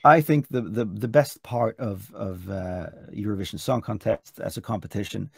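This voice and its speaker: A middle-aged man with a Norwegian accent, a bit hoarse, chatting eagerly in an interview over a video call with many restarts. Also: on the low side, normal speed, no room sound, clean studio sound. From Norway. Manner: chatty, enthusiastic, frequent restarts. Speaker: singer and contest entrant. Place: hotel room indoors.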